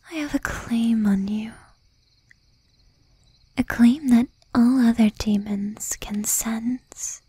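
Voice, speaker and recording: A woman acting as a jealous, doting young demon girlfriend in a soft, breathy ASMR whisper. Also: on the high side, no echo, full character voice. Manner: slow, intimate, menacing yet doting. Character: jealous, possessive young female demon girlfriend, shy, tender.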